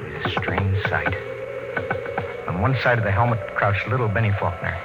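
An actor playing a stern, formal space patrol captain who narrates with measured authority in old-time radio drama style. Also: male voice, low-pitched, animated. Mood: grave, narrating. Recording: dull vintage radio recording, loud music behind.

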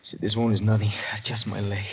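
An actor playing a loyal, solemn villager guide in an old radio drama, speaking simply and deferentially. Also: male voice, fairly low pitch, little pitch movement, brisk, dull audio, natural voice. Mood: earnest. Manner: deferential, simply phrased, earnest. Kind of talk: 1950s radio drama acting. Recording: old radio recording with background hiss.

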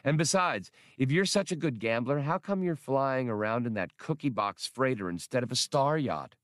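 A man voicing a spirited, quick-witted woman traveler with a playful, teasing manner in an audiobook. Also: mid-range voice, animated, no echo, clean studio sound, pitched above the performer's own voice, natural voice. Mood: sharp retort.

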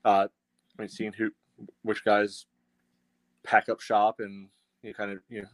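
A man with a fairly low voice and little pitch movement co-hosts a sports fan show. He rambles casually, padding his thoughts with "you know". The recording is dry and close. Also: monotone, measured pace, no echo. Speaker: co-host of a soccer fan podcast.

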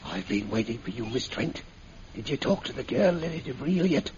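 An actor playing a defiant, stubborn old man held prisoner in a radio serial, with a gravelly voice and emphatic delivery. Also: mid-range voice, expressive, normal speed, a little mellow, close to an everyday voice. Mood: anxious, probing. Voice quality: creaky, gravelly. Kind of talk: 1940s radio serial acting. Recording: muffled, noisy old radio recording.

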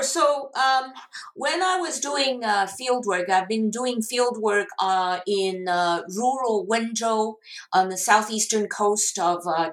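A woman from Taiwan, a professor, answering interview questions slowly with many pauses and fillers. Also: mid-range voice, roomy sound. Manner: slow, hesitant, many fillers. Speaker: guest professor.

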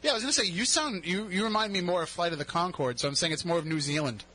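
A chatty radio host with a Boston accent, a man whose voice sounds bright and a little high. He jokes easily and keeps the conversation light. He speaks into a studio mic. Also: quick pace, breathy edge, rough recording. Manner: chatty, jokey, laughs often. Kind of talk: casual interview chat.

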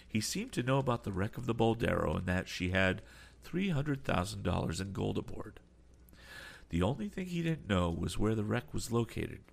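A man voicing a calm, polite older sea captain who keeps adding apologetic asides. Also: fairly low pitch, gravelly, slightly rough, pitched above the performer's own voice, heavily acted.

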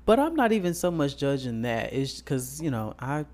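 A man's voice: mid-range voice, very animated, normal speed, darkish tone. A man, talking bluntly in casual chat, swearing and laughing. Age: 20s.

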